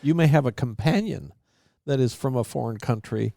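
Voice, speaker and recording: A man, a podcast co-host and former mission president, chatting in a relaxed, good-humored way. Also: mid-range voice, normal speed, gravelly, no echo, clean studio sound. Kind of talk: conversational interview.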